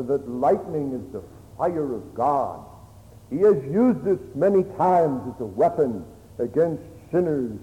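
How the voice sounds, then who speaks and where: A man preaching a solemn, oratorical Bible sermon, telling the story emphatically in short, halting phrases. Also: fairly high pitch, warm tone, lo-fi sound. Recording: echoey hall with long reverb.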